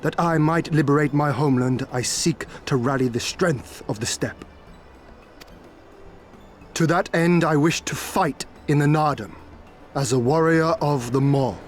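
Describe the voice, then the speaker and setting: A resolute young prince, voiced by a man. His voice sits slightly high, and his words are formal and measured. A game score plays clearly behind him. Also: faint music behind, close to an everyday voice. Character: noble young male prince, earnest and resolute. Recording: game soundtrack under the voice.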